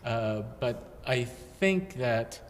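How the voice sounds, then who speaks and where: A man's voice: medium pitch, expressive, extremely slow. An older man, a guest artist, speaking thoughtfully and hesitantly in a casual interview, holding a handheld mic in a large bare studio room. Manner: thoughtful, hesitant, with many fillers. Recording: long reverb with faint room noise.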